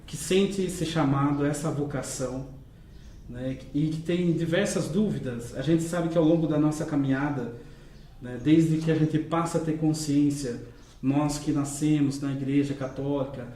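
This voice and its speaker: A man interviewing a deacon, asking gently and adding frequent tag questions. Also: a little high, steady tone, normal speed, roomy sound. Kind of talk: religious interview.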